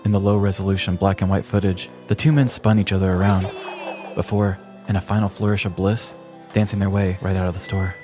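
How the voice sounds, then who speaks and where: Low-voiced man narrating over music. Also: level tone, brisk. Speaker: podcast host. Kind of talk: scripted storytelling narration. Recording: music behind the voice. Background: background music.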